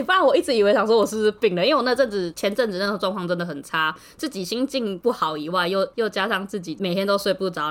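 A woman with a Taiwanese Mandarin accent talks quickly in a casual podcast chat. She is animated and tells personal stories, piling up repeated words for emphasis. Her pitch is average for a woman. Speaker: podcast co-host.

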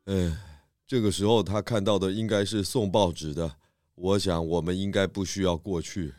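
Man playing a low-voiced, easygoing pig detective. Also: fairly even intonation, normal speed, darkish tone, no echo, clean studio sound, close to an everyday voice. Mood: sleepy, unbothered. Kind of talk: children's detective audio drama.

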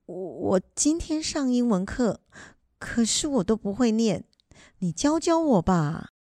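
Lady doing an old taiwanese grandma, earnest n studious, lively pitch, soft dark tone, radio play. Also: a little slow, no room sound, studio quality. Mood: eager. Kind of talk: amateur educational radio drama acting.

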